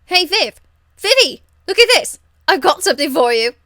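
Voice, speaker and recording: A woman voicing a cheeky, crude young street rebel woman from a fantasy game, blunt, slangy and excitable. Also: very high-pitched, moderate pace, dry recording, studio quality, full character voice. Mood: excited, loud. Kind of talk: video game character acting.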